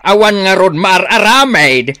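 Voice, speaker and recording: A man's voice: high voice, animated, unhurried, sharp tone, dry recording, full character voice. A man playing a skeptical, easygoing village husband with an Ilocano accent, casual and conversational in a radio comedy-drama.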